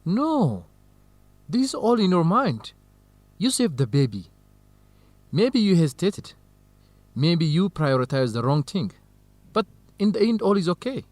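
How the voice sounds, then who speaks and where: An earnest young male student in a radio drama, reassuring and supportive. His voice sits fairly high and moves around a lot. The sound is dry and close. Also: very animated, unhurried, pauses often, a little mellow, no echo. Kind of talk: educational radio drama acting.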